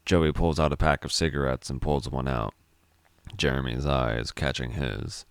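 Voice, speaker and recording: A man's voice: a bass voice, steady tone, moderate pace, smooth, no room sound, studio quality. A man narrating a scripted dramatic story as a storyteller.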